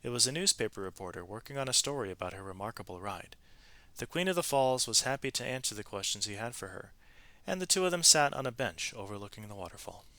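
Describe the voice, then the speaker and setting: A man narrating a children's story aloud in an expressive, animated way, with varied emphasis from phrase to phrase. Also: on the low side, fast, dry recording.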